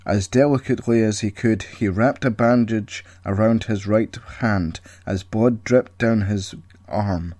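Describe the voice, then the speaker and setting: A man narrates an audio story in a low-pitched voice. The recording is dry and close, with very little room sound around the scripted reading. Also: a little slow, darkish tone, dry recording.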